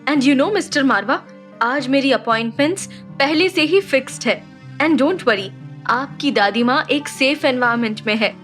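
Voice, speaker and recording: A woman's voice: sits high, expressive, unhurried, fairly bright tone, faint music behind. A woman playing a young, composed and guarded doctor in an audio drama, speaking politely and reassuringly with English phrases mixed in. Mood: calm, guarded. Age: young adult. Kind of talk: Hindi audio drama acting.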